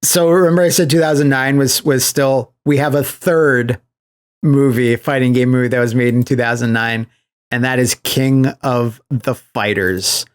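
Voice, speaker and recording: A man with a medium-pitched voice talks casually as a podcast host. He tells things like an animated storyteller, dropping little acted-out quotes into his recaps. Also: moderate pace. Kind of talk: casual chat recapping films.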